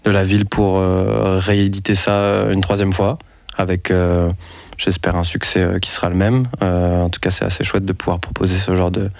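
A man's voice: deep voice, level tone, moderate pace, creaky, dry recording. A young man from France, a festival organizer speaking as a radio interview guest, hesitant with many restarts. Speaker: festival organizer and network coordinator.

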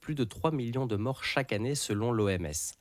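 A man's voice: medium pitch, brisk, no echo. A man co-hosting a podcast, delivering scripted explanations in an articulate, didactic manner. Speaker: podcast co-host.

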